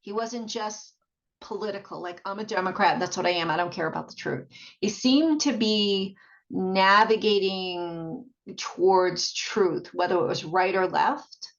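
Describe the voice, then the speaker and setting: Muffled lo-fi recording, woman vlogger, heavy vocal fry, casual rambling monologue, halting and repetitive. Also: mid-range voice. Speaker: video blogger. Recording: dull, muffled lo-fi sound.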